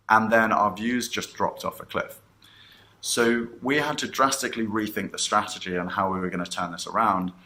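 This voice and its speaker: Low-voiced man with a bright, forceful edge. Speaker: company co-founder presenting a product. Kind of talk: rehearsed product announcement talk.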